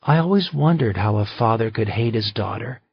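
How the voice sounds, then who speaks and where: A man's voice: on the low side, lively intonation, normal speed, vocal fry, husky, highs cut off, heavily acted. A man voicing a furious, grieving, betrayed wife who speaks with intense accusation. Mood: bitter.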